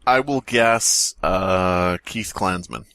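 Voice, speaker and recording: A man's voice: sits low, slow, sharp tone, clear, resonant tone, no echo. A man co-hosting a comedy podcast, riffing casually with sarcasm and frequent swearing. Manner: profane, sarcastic, mock announcing. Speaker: podcast co-host.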